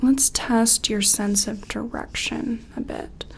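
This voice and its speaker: A woman playing a gentle, curious sci-fi examiner in an ASMR role-play, soft-spoken and slipping in and out of a soothing whisper. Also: a little high, steady tone, slow, creaky, slight background noise.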